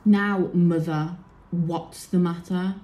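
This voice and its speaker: A woman's voice: on the low side, steady tone, slow pace, dark tone, slight background noise. A woman playing a bitter, accusing young prince in a Shakespearean tragedy made as audio drama. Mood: bitter, defiant. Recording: echoey room.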